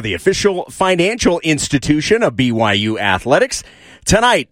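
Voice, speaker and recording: A man's voice: high-pitched, fairly bright tone. A man hosting a sports pregame show from a radio studio in a polished broadcast style.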